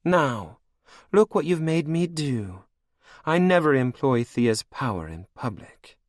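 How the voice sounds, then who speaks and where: Man doing a regal vampire queen, cruel, sweetly mocking, high voice, dry sound. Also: sing-song, slow pace, no echo. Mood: mocking command.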